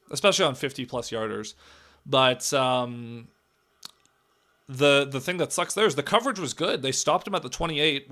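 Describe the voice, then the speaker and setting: A hoarse young man with a raspy, cracking voice, giving casual live play-by-play commentary and reacting to the game, swinging from loud calls to low muttering.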